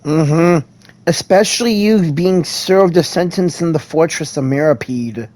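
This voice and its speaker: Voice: medium pitch, unhurried, a little mellow. A game player giving casual playthrough commentary, reacting aloud to story scenes.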